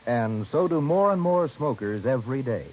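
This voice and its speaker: Animated male vintage radio ad announcer. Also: mid-range voice, moderate pace. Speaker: commercial announcer. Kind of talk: 1950s radio cigarette advertisement, polished announcing. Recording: tinny vintage radio recording.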